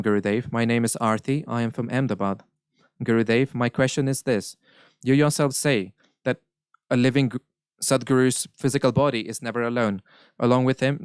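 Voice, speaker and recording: Smooth-voiced man interpreting. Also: mid-range voice, dry recording, studio quality. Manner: measured, earnest, occasional self-corrections. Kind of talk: live interpretation of a spiritual Q&A.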